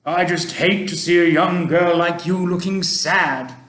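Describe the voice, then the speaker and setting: A man's voice: high-pitched, unhurried, fairly bright tone, reverberant, pitched above the performer's own voice. A man voicing a kindly, genteel old male tramp, polite and old-fashioned. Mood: gentle, reassuring.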